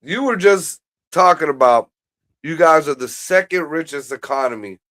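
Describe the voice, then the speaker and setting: A man with a somewhat high voice riffs and rants with crude humor. He jumps between jokes and heated complaints in a loose, casual way. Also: moderate pace. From United States. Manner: crude, joking, animated rants. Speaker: podcast co-host. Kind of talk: casual banter.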